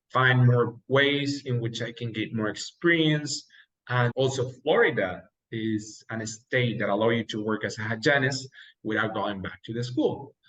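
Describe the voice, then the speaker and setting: A man's voice: mid-range voice, a bit bright, poor audio. A young man from Mexico, Spanish his first language, explaining his path step by step in a casual interview. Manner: earnest, explains step by step, occasional laughs. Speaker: interview guest, dentist. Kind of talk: casual interview, giving advice.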